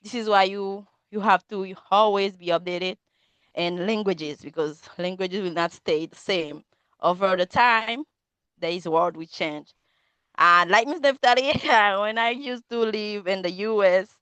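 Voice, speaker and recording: A woman's voice: mid-range voice, a bit bright, smooth, no echo, lo-fi sound. A woman in her thirties from Haiti, a Haitian Creole speaker with a Haitian accent, giving a rambling answer with frequent laughs and fillers during a video-call conversation class. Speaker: student participant. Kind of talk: casual conversation club answer.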